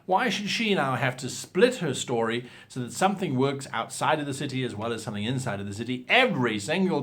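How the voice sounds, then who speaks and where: Expressive man giving instructional advice. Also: on the high side. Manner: animated, theatrical, emphatic delivery. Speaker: host of a role-playing advice show. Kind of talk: instructional talk to viewers with acted example lines.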